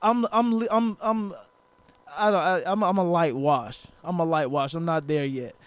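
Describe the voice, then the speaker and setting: A man's voice: on the high side, steady tone, a little slow, no echo. A man hosting a casual podcast chat, rambling, joking and swearing a lot. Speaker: podcast host.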